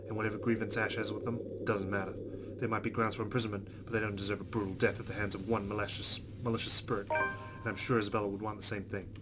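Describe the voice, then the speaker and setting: A man's voice: lowish voice, level tone, quick pace, over loud music. A man hosting a let's play, reading visual novel narration aloud.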